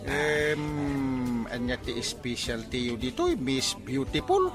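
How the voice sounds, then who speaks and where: Male actor as blustering, pleasure-loving village captain, Ilocano accent, wildly swinging pitch, music under the voice. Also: big pitch swings, slow pace. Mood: jolly, flirtatious. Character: village captain, mischievous pleasure-seeking comic husband. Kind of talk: comedy radio-style drama acting.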